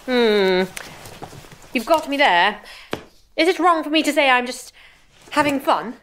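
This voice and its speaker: A cheeky young reporter in an audio drama, with a lively, teasing delivery that climbs a little high. Soft music sits behind her. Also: slight background noise. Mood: playful. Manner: teasing, playful, quick-witted. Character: cheeky young female journalist.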